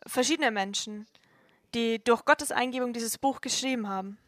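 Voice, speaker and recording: Woman with a higher voice, slow and deliberate, talking faith to viewers, dry close mic. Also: fairly bright tone, dry recording. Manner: slow, deliberate phrasing in short pieces. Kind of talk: earnest faith talk to viewers.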